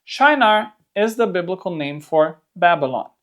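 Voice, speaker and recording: A presenter with a Romanian accent, a native Romanian speaker, gives a scripted educational narration in English, explaining things clearly with playful asides. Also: medium pitch, expressive, moderate pace, fairly bright tone. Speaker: host of a Bible history channel.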